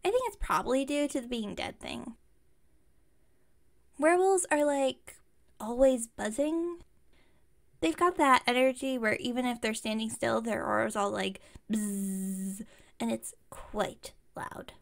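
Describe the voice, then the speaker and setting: A woman's voice: on the high side, a little slow, gravelly. A woman playing an enthusiastic, slightly incompetent witch shopkeeper in an audio roleplay, rambling on in a friendly, bubbly way. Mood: curious, rambling. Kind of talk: ASMR roleplay audio, one-sided chat with listener.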